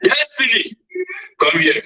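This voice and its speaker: A man's voice: very high voice, very animated, takes it slowly, bright, forceful tone, slightly rough, full character voice. A man with a Nigerian accent playing an angry, domineering father who scolds and badgers in a radio drama. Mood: furious. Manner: scolding, badgering with repeated questions. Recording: off-mic, distant voice.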